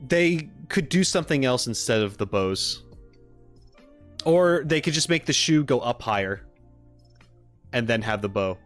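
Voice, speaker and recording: A man's voice: mid-range voice, animated, a little slow, long pauses. Casual, tentative male livestreamer with music behind. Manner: tentative, hedging opinions. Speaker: streamer reacting with live chat. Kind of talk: casual rambling livestream chat.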